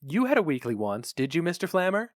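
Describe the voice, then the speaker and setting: A man's voice: on the high side, sing-song, a little fast, dry recording, clean studio sound. A man voicing an earnest, anxious young male office employee, polite and tentative, in a story reading. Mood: admiring.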